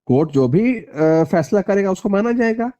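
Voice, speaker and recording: A man's voice: high voice, animated, moderate pace, mellow, lo-fi sound. A man from Bihar, speaking emphatically and repeating phrases for stress as a politician interviewed over the phone. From Bihar, India (Magadh region). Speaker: party politician being interviewed. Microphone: phone call.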